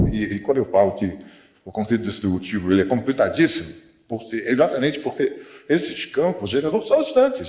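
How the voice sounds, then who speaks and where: A man's voice: medium pitch, a little mellow, reverberant. A Brazilian man giving a rambling, unscripted lecture, full of asides and rhetorical questions. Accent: Brazilian Portuguese.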